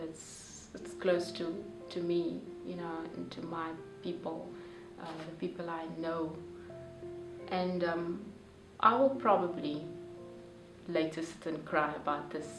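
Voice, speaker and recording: A woman's voice: fairly low pitch, steady tone, a little slow. A young woman, sharing heartfelt, hesitant personal reflections. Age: young adult. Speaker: young reader reacting to a story. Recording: roomy, distant, with music behind.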